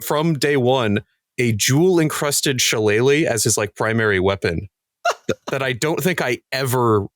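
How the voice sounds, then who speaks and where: A man with a mid-range voice chats casually as part of a podcast cast. He tells stories in an animated way and slips into little acted-out asides. The sound is dry, with very little room. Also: medium pitch, normal speed, no echo. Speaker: podcast cast member discussing their show. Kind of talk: casual panel chat.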